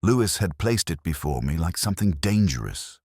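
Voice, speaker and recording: A man playing a melancholy, reflective police detective, narrating his case in a measured, literary, brooding manner for a mystery audio drama. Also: sits low, big pitch swings, darkish tone, breathy edge, no echo, clean studio sound, full character voice. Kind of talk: scripted first-person noir-like mystery narration.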